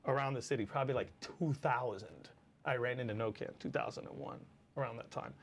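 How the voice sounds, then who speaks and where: Animated man with a Lebanese accent. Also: mid-range voice, a little fast, vocal fry, slight background noise. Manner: animated storytelling, heavy swearing and fillers. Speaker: battle rapper guest. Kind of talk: casual chat.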